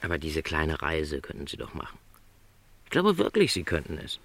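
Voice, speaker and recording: Deep-voiced modest travelling salesman. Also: wildly swooping pitch, moderate pace, a bit bright, no room sound, heavily acted. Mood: gently encouraging. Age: middle-aged. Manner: earnest, reassuring, formal wording. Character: gentle middle-aged travelling salesman, modest and quietly content. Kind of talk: literary radio play dialogue.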